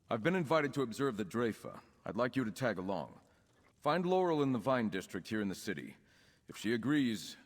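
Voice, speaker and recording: An actor playing an earnest, composed young nobleman in a video game, speaking in a formal, measured way. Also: on the low side, big-room sound. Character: young nobleman, count's son with druid leanings, earnest and composed. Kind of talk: scripted video game dialogue.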